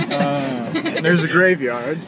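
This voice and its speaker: A teenage boy mock-hosting a car ride video, giving a joking, deadpan running commentary on the scenery from inside a moving car, recorded on a phone camera. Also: a little high, heavy background noise. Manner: playful, mock-announcer, drawn-out deadpan listing. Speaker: self-styled host of a car-ride video. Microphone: phone camera held in the car. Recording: loud pop music playing in the car.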